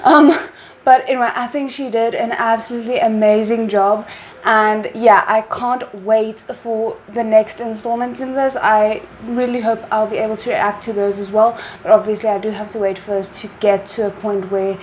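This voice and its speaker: A woman with a slightly high voice chats casually as the host of a reaction video, gushing with enthusiasm. A faint background hiss runs under her words. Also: level tone, moderate pace.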